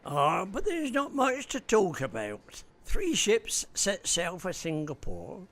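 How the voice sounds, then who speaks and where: An actor playing a hesitant, evasive middle-aged shipping manager in an amateur radio drama. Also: male voice, sits high, wildly swooping pitch, takes it slowly, fairly bright tone, heavily acted.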